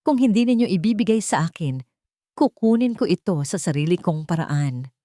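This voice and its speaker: A woman's voice: fairly low pitch, animated, normal speed, dark tone, no echo, studio quality, natural voice. A woman voicing an arrogant, greedy man who makes theatrical, oratorical pleas in an audio story. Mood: greedy, demanding. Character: arrogant, greedy male schemer posing as dignified victim.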